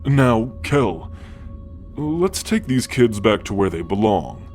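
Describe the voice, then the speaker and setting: A man voicing an ancient, godlike great-grandfather, wise and quietly commanding, speaking deliberately and affectionately in a scripted ASMR roleplay. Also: lowish voice, sing-song. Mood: gentle, fond. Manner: slow, deliberate, affectionate, with sighs and soft chuckles. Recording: music under the voice. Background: background music.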